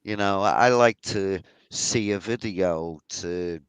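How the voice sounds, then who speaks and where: A man with a Liverpool accent, co-hosting an online interview in a relaxed, joking way. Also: sits low, takes it slowly, clear, resonant tone, dry recording, poor audio. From Liverpool, England. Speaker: co-host.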